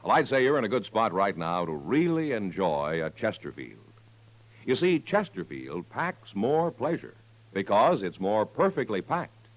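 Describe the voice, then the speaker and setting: A man's voice: fairly low pitch, sing-song, measured pace, rough recording. An American man announcing a 1950s radio commercial in a chummy, salesmanlike way, talking straight to the listener. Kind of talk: 1950s radio advertising pitch.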